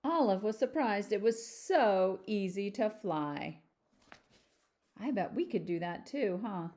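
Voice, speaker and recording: A woman reading a picture book aloud like a teacher, animated, with chatty asides and questions to young listeners. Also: medium pitch, slow pace. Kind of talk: children's storybook read-aloud with chatty asides.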